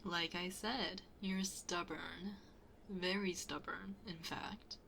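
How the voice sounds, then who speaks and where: A woman's voice: lowish voice, fairly even intonation, slow, gravelly, pushed and bright, slight background noise, exaggerated voice. A woman playing a shy, flustered best friend hiding a crush in an intimate ASMR roleplay, hesitant and often dropping to a near-whisper. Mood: teasing, resigned.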